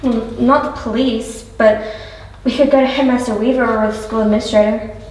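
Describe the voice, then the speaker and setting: Young actress as an anxious schoolgirl, high voice, far from the mic, slight hiss. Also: fairly even intonation, fairly quick, a little mellow, lightly acted. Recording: echoey, distant, light noise.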